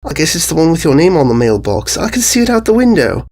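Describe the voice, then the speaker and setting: Man doing a tiny boy pony, high voice, earnest helpful kid, storybook read. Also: big pitch swings, fast talker, a little mellow. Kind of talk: storybook narration character voice.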